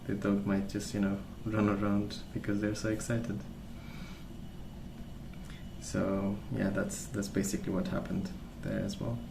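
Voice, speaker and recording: A man speaking softly in a calm, gentle, unhurried way, rambling in a casual ASMR storytelling style. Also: fairly low pitch. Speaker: ASMR video creator. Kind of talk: soft-spoken ASMR rambling. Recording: roomy sound with clear background noise.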